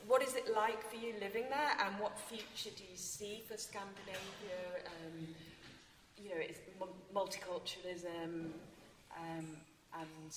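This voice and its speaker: A woman's voice: medium pitch, steady tone, a little slow. Hesitant woman chairing, echoey hall. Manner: hesitant, many ums. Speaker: panel chair. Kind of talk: asking panel questions. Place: large hall, seated on stage. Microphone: far room mic. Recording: echoey hall from far off, faint noise.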